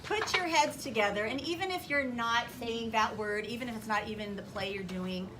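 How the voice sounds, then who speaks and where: A woman with a fairly high voice teaches a class of young children. She gives firm directions, repeats them, and keeps putting questions to the pupils. The recording has clear classroom noise behind her. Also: moderate pace. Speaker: primary school teacher. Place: school classroom.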